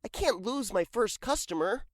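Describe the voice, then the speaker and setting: Woman as an eager, high-pitched young boy. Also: expressive, moderate pace, no echo, clean studio sound. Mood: anxious, earnest. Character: eager, anxious young boy pet sitter. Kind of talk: children's storybook read-aloud.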